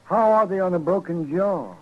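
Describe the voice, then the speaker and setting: Guy doing a whiny henpecked husband, groany and high, old muffled radio sketch. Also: normal speed, lightly acted. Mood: pained, whiny. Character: moaning, henpecked husband complaining in a comic health announcement. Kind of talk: old-time radio comic sketch acting. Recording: dull old radio recording, crowd-like noise.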